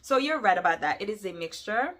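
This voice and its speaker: A woman's voice: medium pitch, a little fast. A woman from Jamaica with a Jamaican accent tinged with New York, answering viewer questions in a casual, emphatic vlog. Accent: Jamaican mixed with New York. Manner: animated, emphatic, addresses viewers directly.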